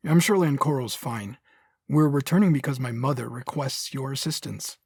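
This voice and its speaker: A cool, dangerous young Sith man voiced by an actor speaks formally and guardedly. His tone is dark and husky, recorded very dry. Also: normal speed, no room sound. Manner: low-key, formal, guarded. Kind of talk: audio drama acting.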